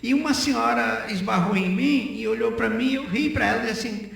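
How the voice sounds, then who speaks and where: An older man speaks in a high-pitched, gravelly voice. He talks conversationally on the radio, stressing his points by repeating phrases. The sound is heavily reverberant, as in a very echoey room. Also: a little fast, fairly bright tone. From Northeast Brazil. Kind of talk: opinionated conversational radio talk.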